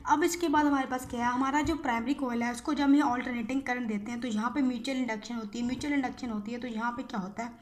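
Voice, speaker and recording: A woman's voice: fairly high pitch, fairly even intonation, fast talker, hardly any pauses. A woman giving an informal explanatory lecture, repeating key phrases and often checking in with short tag words. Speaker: physiotherapy lecturer.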